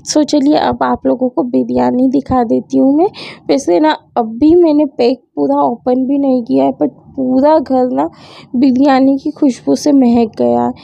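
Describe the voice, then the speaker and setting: A woman's voice: high-pitched, steady tone, a little slow, creaky, dark tone, dry recording. A young woman vlogger with a sore throat, chatting casually as she narrates her day and mixes English words into her talk. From India. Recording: dull lo-fi sound.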